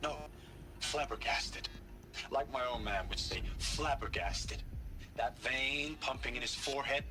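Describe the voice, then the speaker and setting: A man's voice: mid-range voice, measured pace, noisy background, lightly acted. A man voicing an indignant, scornful, sarcastic recruit in a video game, exasperated and emphatic. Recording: music behind the voice. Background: game soundtrack music.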